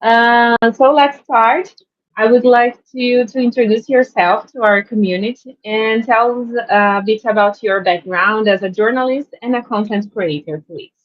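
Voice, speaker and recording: A woman hosting an interview, asking questions in an unhurried, encouraging way. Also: a little high, no echo, muffled recording. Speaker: host.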